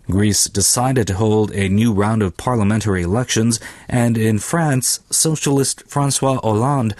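A man's voice: fairly low pitch, darkish tone, dry recording. A man reading scripted economic commentary for a radio news programme.

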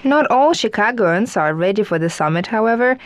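A woman reading scripted news reports in a steady, even manner. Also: medium pitch, fairly quick. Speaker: news presenter.

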